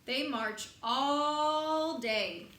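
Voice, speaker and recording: A woman reading a picture book aloud to young children in an expressive, sing-song way, pausing to put questions to them. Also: high voice. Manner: expressive, dramatic emphasis, sing-song counting and repetition. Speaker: children's storybook reader. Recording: roomy echo, faint background noise.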